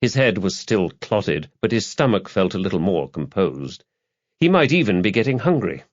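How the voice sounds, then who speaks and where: A man's voice: fairly low pitch, sing-song, moderate pace, dull audio. A man reading a crime novel aloud as an audiobook narrator, in a scripted literary style.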